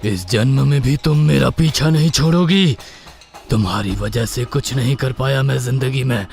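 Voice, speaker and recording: Horror story role: male actor as resentful haunted man, creaky voice, accusing and dramatic, mid pitch. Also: moderate pace, darkish tone, soft background music, natural voice. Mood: bitter. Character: bitter, resentful man confronting his dead lover's ghost. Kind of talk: horror audio story acting.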